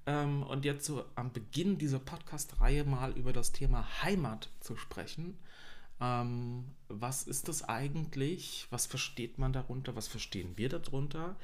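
Hesitant German man chatting on a podcast. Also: mid-range voice. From East Berlin. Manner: hesitant, many fillers. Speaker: podcast co-host. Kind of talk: casual chat.

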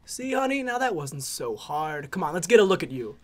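A man's voice: fairly high pitch, big pitch swings, brisk. A man playing a coaxing, joking father of a monster family in a comedic audio drama.

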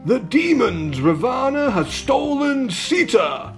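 Guy doing a little monkey king, high voice, earnest and loyal, storybook style. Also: very animated, slow, gravelly, soft background music, heavily acted. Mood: urgent, rallying. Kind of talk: children's storybook narration.

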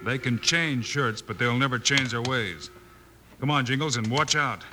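An American man playing a bold, steady lawman hero in an old radio western, with a confident, commanding manner. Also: medium pitch, normal speed, lightly acted. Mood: resolute. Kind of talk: 1950s radio western acting. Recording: noisy old radio recording.

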